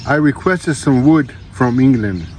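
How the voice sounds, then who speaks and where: A man's voice: medium pitch, lively intonation, a little slow, darkish tone, a little hiss or hum. A man hosting a casual unboxing vlog, chatting to viewers in a folksy, slangy, laid-back way. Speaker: vlog host.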